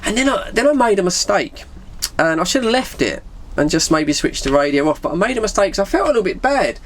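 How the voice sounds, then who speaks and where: A man's voice: high-pitched, fast. A middle-aged man, telling an anecdote casually and animatedly, acting out the dialogue. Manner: animated, acts out dialogue with put-on voices. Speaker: video storyteller.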